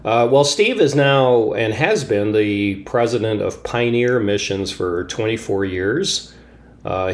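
A man in his sixties, from Papua, hosting a podcast in a relaxed, rambling way with many pauses and restarts. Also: lowish voice. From Papua, Indonesia. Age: 60s. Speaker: podcast host. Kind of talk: casual interview chat.

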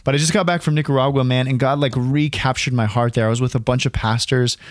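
Man in his 30s, raspy from a cold, solo podcast host, loose and chatty, dry close sound. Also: mid-range voice, steady tone, quick pace, no room sound. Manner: casual, rambling, many fillers and "dude", "man". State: hoarse from a cold. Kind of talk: casual solo podcast talk. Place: alone in a room at home. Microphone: home recording at a computer.

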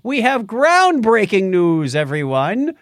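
A man's voice: sits high, wildly swooping pitch, slow pace, dry recording, clean studio sound. A man hosting a podcast, chatting casually and jokily about tech news with theatrical, self-deprecating announcing. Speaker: podcast host.

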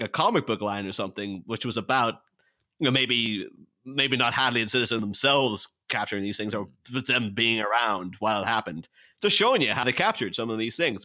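Male, bright forceful voice, very lively intonation, rambling casual podcast talk. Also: mid-range voice. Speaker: podcast panelist. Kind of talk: casual chat.

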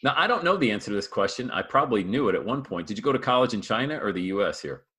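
A man's voice: fairly low pitch, sing-song, racing. A man hosting a motivational podcast over a video call, hyped and upbeat, full of pumped-up encouragement. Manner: hyped, pumped-up, shouty catchphrases. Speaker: podcast host. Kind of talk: high-energy motivational podcast interview.

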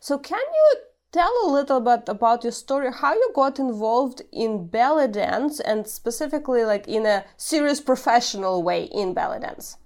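A woman's voice: a little high, very animated, moderate pace, darkish tone. A woman hosting a podcast interview, upbeat and emphatic as she introduces her guest and asks questions. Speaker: podcast host.

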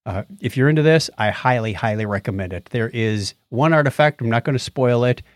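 A man's voice: medium pitch, brisk, no echo, clean studio sound. A man chatting casually and enthusiastically as a podcast co-host. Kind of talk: casual chat.

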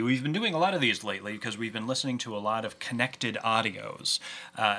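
A man's voice: mid-range voice, pushed and bright. A man hosting a casual fan discussion, explaining with nerdy enthusiasm. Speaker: podcast host.

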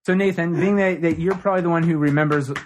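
A man's voice: on the high side, fairly even intonation, quick pace, slight background noise. A young man from Ohio hosting a casual podcast, loose and laughing, swearing freely as he chats and interviews a guest. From Ohio, USA. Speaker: podcast host.

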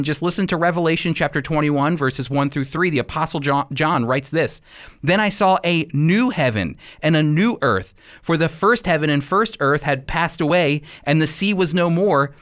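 Male host and Bible teacher, somewhat higher register, deliberate emphatic phrasing, radio teaching and commentary. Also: fairly bright tone.

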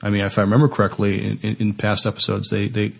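A man co-hosting a podcast, chatting casually and haltingly, often repeating words as he thinks aloud. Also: lowish voice, monotone, creaky, husky. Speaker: podcast co-host. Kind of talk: casual panel chat.

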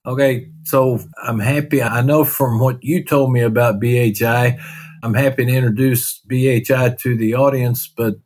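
A man's voice: mid-range voice, fairly even intonation, moderate pace, a little mellow. A man with a Texas accent hosting a podcast interview in an easygoing, friendly way. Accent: Texan. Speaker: podcast host.

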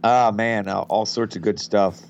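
A man from a betting site's marketing side, guesting on a podcast, hoarse from a head cold and talking in a relaxed, rambling way. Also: fairly low pitch, measured pace, dull audio, a little hiss or hum. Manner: relaxed, rambling, many fillers. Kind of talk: casual podcast interview.

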